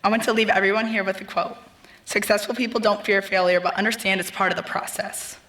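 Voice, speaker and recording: Bright-voiced young woman losing her voice. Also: mid-range voice, fairly even intonation, fast. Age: young adult. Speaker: student speaker. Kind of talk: graduation speech. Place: large ceremony venue. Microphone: podium mic.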